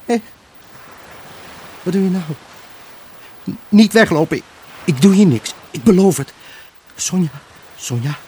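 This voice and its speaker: An actor playing a lonely, grieving husband in an intimate radio drama monologue, brooding, halting and emotionally raw. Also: male voice, sits high, expressive, a little mellow, slight background noise. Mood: desperate, pleading. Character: grieving, haunted husband, lonely, obsessive and pleading. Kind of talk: Dutch radio drama monologue, intimate first-person narration.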